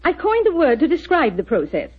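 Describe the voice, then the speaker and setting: A woman's voice: sits high, a little fast. Poised woman scientist. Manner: calm, gracious, lecturing. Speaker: inventor, scientist. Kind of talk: 1940s radio acting. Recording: dull lo-fi 1940s radio broadcast.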